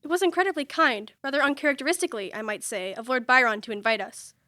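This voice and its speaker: A woman's voice: high-pitched, fast talker, bright, forceful tone, no room sound, clean studio sound. A woman acting an outspoken, principled young literary woman in a period radio drama, speaking formally and earnestly. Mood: polite, pointed.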